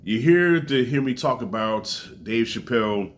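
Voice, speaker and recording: A congested man in his forties talks straight to camera, his voice thick and stuffy. He speaks loosely and profanely, with sudden high swings in pitch. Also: lively intonation, measured pace. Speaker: comedy special reviewer. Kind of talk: casual spoken review to camera.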